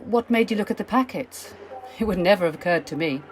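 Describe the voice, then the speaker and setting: A woman's voice: medium pitch, expressive, brisk, a little hiss or hum, lightly acted, low-quality recording. A woman acting a sly, chatty passenger with an innocent front in an amateur radio play. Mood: innocent, shaken. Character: sly female passenger, outwardly innocent secret schemer.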